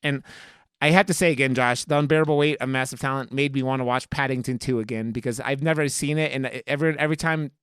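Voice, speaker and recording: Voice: medium pitch, steady tone, fast, fairly bright tone, clear, resonant tone, no echo, clean studio sound. A podcast host chatting casually about movies in an emphatic, rambling, swear-heavy way. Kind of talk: casual chat, movie discussion.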